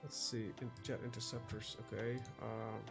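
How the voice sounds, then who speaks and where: A man's voice: fairly low pitch, flat delivery, moderate pace, vocal fry, music in the background. A young man, rambling through casual gameplay commentary with lots of fillers. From Netherlands. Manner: rambling, chatty, trails off with fillers. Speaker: gaming video host.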